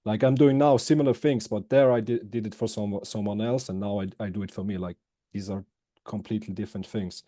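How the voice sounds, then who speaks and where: A young man from Switzerland speaks in a low, mellow voice, recorded close and dry. His English comes out halting and loose, full of fillers and restarts as he answers questions in a relaxed interview. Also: dry recording. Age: 20s. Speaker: guest, former student.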